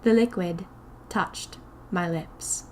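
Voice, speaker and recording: Slow, deliberate woman narrating fiction. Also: lowish voice, a little mellow, slight background noise. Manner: deliberate, dramatic. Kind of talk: scripted first-person fiction narration.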